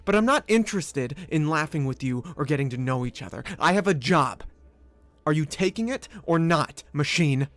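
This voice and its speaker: Brief: man voicing a fugitive young inventor, edgy, fairly high and very lively. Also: normal speed, no echo. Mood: defensive. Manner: jittery and defensive. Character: nervous young male inventor on the run.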